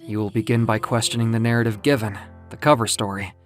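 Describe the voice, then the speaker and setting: Over music, a man acts out an ancient winged muse, solemn and impassioned. The figure delivers grave, deliberate speeches in the theatrical manner of an audio comic drama. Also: on the low side, fairly even intonation, lightly acted. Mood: earnest, persuasive. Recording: music behind the voice.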